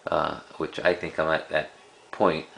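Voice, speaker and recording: Casting: man, very low creaky voice, casual collector showing items, halting and unscripted, slight hiss of background noise. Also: flat delivery, a bit bright. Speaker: cassette collector presenting a collection. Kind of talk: casual unscripted show-and-tell.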